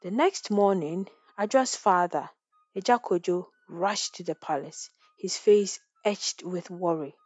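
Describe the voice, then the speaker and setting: A woman's voice: on the low side, a little slow, darkish tone, no echo. A woman storyteller narrating a folktale in a scripted, dramatic style.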